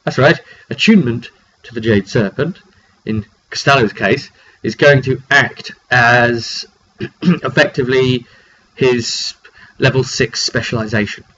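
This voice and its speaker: A man with a cold who often clears his throat, casually recapping a tabletop roleplay session as game master in halting, phrase-by-phrase storytelling. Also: mid-range voice, a bit bright. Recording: dull lo-fi makeshift mic, clearly noisy.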